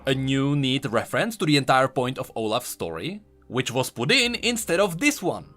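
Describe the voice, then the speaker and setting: A man's voice: medium pitch, sing-song, moderate pace, pushed and bright. A man giving emphatic, explanatory scripted commentary on video game lore as an online host. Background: background music.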